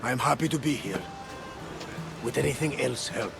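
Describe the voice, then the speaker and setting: An actor playing a loyal, devout older ship captain with a gravelly voice in a video game. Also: male voice, medium pitch, normal speed, lightly acted. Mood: wry, friendly. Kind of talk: video game cutscene acting. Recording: background music under the voice.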